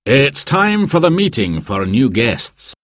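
A man's voice: medium pitch, sing-song, slow pace, fairly bright tone, no room sound, clean studio sound, pitched above the performer's own voice. A man voicing a kindly older male hostel keeper who explains things patiently in a storybook.

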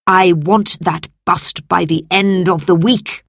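A woman's voice: on the low side, lively intonation, unhurried, no room sound, studio quality. Woman playing a furious, imperious Roman senator. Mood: commanding. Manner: shouting, threatening, imperious. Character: furious, pompous, powerful male Roman senator. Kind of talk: children's storybook character voice.

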